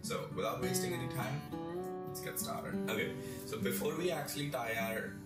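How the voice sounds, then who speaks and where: A young man giving a casual how-to demonstration, explaining step by step in a halting way as he works with his hands. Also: mid-range voice. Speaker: tutorial presenter. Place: small bedroom, indoors. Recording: roomy echo, distant mic, music behind. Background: background music.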